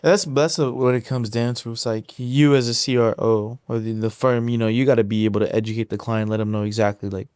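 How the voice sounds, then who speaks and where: A man's voice: medium pitch, fairly even intonation, fairly quick, few pauses, a little mellow, clear, resonant tone, dry recording, studio quality. A man of thirty co-hosting a podcast, talking casually and rambling with lots of filler words. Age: 30s. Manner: rambling with many likes and you knows.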